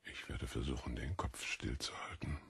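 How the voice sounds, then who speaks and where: A man voicing a weary, cruel Roman procurator in an audiobook, terse and imperious, speaking in a gravelly, husky voice. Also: a bass voice, steady tone, moderate pace, whispered, pitched below the performer's own voice. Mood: pained, muttering. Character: pain-racked, cruel, commanding Roman procurator, weary and menacing.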